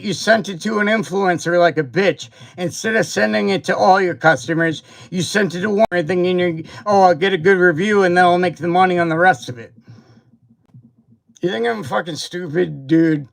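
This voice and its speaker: Gravelly high-voiced man ranting angrily. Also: normal speed, fairly bright tone, rough recording. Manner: profane, confrontational, repetitive and emphatic. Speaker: toy reviewer and livestream host. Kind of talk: angry livestream rant. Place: home livestream setup.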